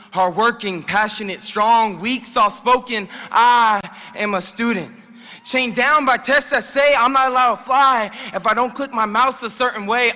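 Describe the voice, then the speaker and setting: Young male student poet, very high voice, impassioned declaiming, muffled hall reverb. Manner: declamatory, emphatic. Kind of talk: impassioned live slam poetry speech. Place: large hall at a rally. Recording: echoey hall, dull lo-fi capture.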